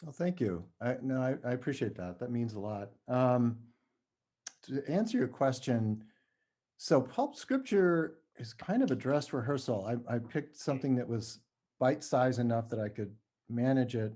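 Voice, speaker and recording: A man speaking informally and modestly as a podcast guest, a playwright chatting about his work in a halting way. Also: mid-range voice, animated, warm tone. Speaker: podcast guest, playwright and audio drama creator. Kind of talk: casual conversational interview.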